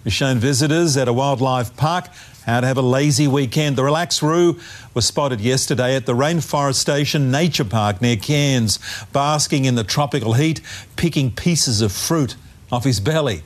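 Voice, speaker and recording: Man, TV newsreader, light amused tone, mid pitch. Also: moderate pace. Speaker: news presenter. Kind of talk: light television news story.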